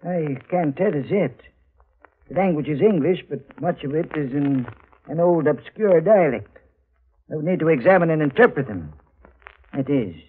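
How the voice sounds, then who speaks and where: An actor playing an earnest old town librarian with formal, halting, bookish diction in 1940s radio drama style. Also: male voice, a little high, lively intonation, breathy edge. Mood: formal, reserved. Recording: muffled vintage radio recording.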